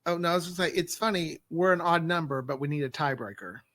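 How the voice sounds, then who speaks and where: A man's voice: on the high side, quick pace, fairly bright tone, no echo. A man co-hosting a casual podcast, bantering in a joking, self-deprecating way.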